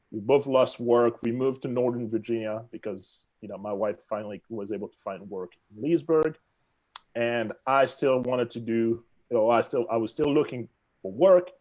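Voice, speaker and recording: A man from the Congo whose first language is French, speaking with his accent as an interview guest, telling his story in an animated, self-deprecating way. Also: medium pitch. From Democratic Republic of the Congo. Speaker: guest, real estate executive.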